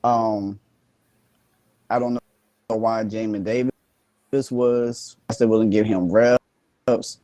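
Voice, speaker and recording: Sleepy male guest pundit, halting with fillers, lowish voice, dry close sound. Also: steady tone, warm tone, no room sound. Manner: halting, full of fillers and restarts. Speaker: guest sports commentator. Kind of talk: casual sports talk.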